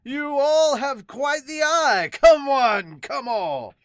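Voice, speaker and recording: Woman as a loud, showy male street hawker. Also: high voice, animated, slow, no room sound, clean studio sound, voice pushed up. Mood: showy, boastful. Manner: theatrical hawker, loudly declaiming. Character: showy male street salesman peddling miracle toad oil.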